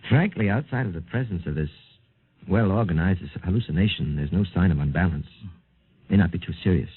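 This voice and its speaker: A calm, clinical doctor. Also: male voice, deep voice, slightly rough. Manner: measured, authoritative. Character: calm, clinical middle-aged male doctor. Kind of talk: 1950s radio drama acting. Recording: tinny old radio broadcast, faint music.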